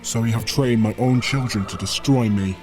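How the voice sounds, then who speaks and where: A man's voice: mid-range voice, moderate pace, a little mellow, soft background music, natural voice. A man playing a fallen Sith lord and father in a fan audio drama, solemn, persuasive and theatrical. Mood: wounded anger.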